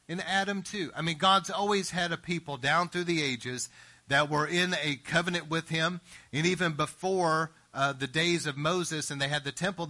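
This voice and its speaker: Male pastor, bright and forceful tone, slightly high voice, emphatic sermon delivery. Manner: earnest, emphatic preaching with frequent "Amen?" asides.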